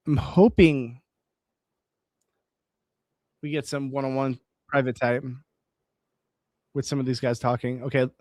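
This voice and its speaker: A man hosting a late-night live stream, chatting casually with viewers, reading their comments aloud and rambling on with restarts, his voice going hoarse. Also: mid-range voice, steady tone, measured pace, long pauses, a little mellow, no room sound. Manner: rambling, reads chat aloud, restarts words.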